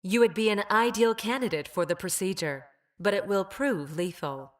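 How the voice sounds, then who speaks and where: A woman's voice: medium pitch, normal speed, natural voice. A woman playing a clinical, detached female android scientist in a video game, speaking with formal precision and ruthless logic. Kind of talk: video game character voice lines.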